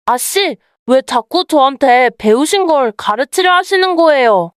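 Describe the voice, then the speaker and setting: A woman's voice: sits high, lively intonation, unhurried, recorded from a distance. A woman voicing a young male bonded servant in a folktale, wary and timid but given to grumbling. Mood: protesting. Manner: deferential polite speech, wary. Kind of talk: dramatized folktale dialogue.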